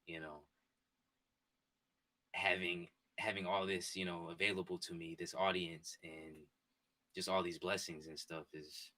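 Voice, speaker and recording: A man in his twenties chatting casually with his live-stream viewers from a home setup, excitable and repetitive. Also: low voice, unhurried, pauses often. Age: 20s. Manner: excitable, repetitive, talks straight to chat. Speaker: sports streamer. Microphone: desk mic and headphones.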